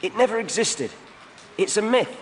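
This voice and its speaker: A man's voice: sits high, lively intonation, normal speed, long reverb tail, a little hiss or hum, pitched above the performer's own voice. A man voicing a scrappy, cheeky young male alien street hustler in an audiobook, defiant and wary. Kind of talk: audiobook character acting.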